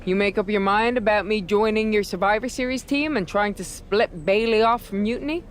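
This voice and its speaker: A woman's voice: medium pitch, fairly quick, a little hiss or hum, lightly acted. A woman playing a brash, scheming veteran wrestler in a video game cutscene, talking in a persuasive, conversational way. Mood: confident.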